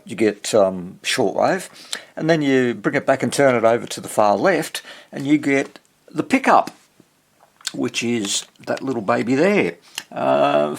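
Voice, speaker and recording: A husky Australian man with plenty of vocal fry and swings in pitch. He talks casually, easygoing and rambling, thinking aloud as he explains a repair. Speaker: host of a vintage radio repair channel. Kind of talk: casual chat to camera about a repair.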